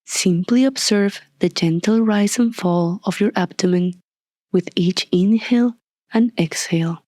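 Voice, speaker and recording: A woman leading a guided meditation as a scripted narration, soft and breathy, calm and soothing, with deliberate long pauses. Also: mid-range voice, no echo, clean studio sound.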